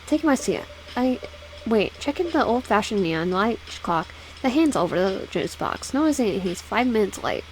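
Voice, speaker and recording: A woman's voice: medium pitch, big pitch swings, normal speed, slight background noise, rough recording. A woman casually reading a visual novel game aloud with personal asides, often stumbling over words and repeating phrases. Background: background music.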